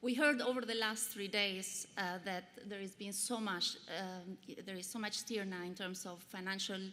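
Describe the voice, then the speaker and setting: Albanian-accented woman pitching in a hall. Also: fairly even intonation, bright, forceful tone. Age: 40s. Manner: earnest, measured, with frequent um and uh fillers. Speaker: pitch presenter. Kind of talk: conference pitch presentation. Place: large conference hall. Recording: long hall reverb, faint noise.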